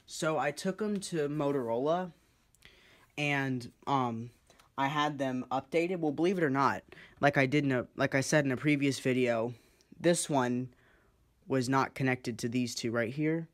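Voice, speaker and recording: A man giving a casual, unscripted demonstration in an informal, explanatory way. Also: medium pitch. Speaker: hobbyist video creator demonstrating two-way radios. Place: indoors, kitchen-like room with countertop.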